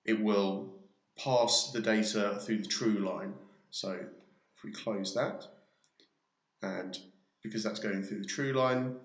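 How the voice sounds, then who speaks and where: Low-voiced man in an echoey room. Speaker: software tutorial presenter. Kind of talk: casual step-by-step screen demo narration. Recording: roomy, echoey sound.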